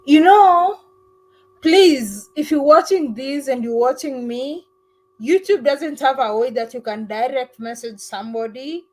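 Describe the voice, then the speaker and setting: Upbeat higher-voiced woman hosting a live chat. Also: animated, a little slow, low-quality recording. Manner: warm, effusive thanks, mimics other accents playfully. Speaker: livestream host. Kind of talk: casual live chat with callers.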